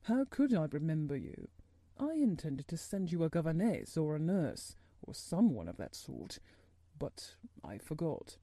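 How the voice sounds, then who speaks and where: A woman voicing a weary, fretful middle-aged man who speaks in an absent-minded, halting, worried way in a storybook narration. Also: low-pitched, big pitch swings, dark tone, dry recording, pitched below the performer's own voice, full character voice. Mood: distracted.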